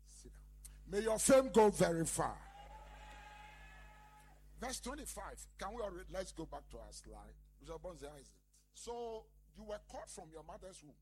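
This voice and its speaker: A male pastor preaches in a high, swinging voice that leaps up and down. He talks emphatically and keeps calling out to the congregation. A faint hiss of noise sits under the recording. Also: very animated, normal speed, a little mellow, low-quality recording. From Ghana. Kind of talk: lively sermon.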